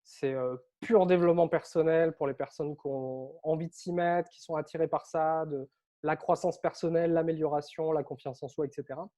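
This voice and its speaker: A French man with a somewhat high yet mellow voice, talking as an interview guest. He speaks in a lively, chatty way and often uses filler words. He grew up on Réunion Island but carries no accent. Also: fairly quick. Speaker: interview guest, author and coach. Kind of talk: casual interview storytelling.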